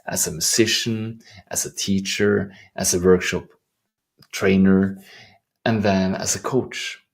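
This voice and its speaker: Low gravelly Norwegian-accented man. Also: steady tone. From Norway. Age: middle-aged. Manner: soft-spoken, earnest, unhurried with searching restarts. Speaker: life coach. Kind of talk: reflective vlog monologue. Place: outdoors in the wilderness.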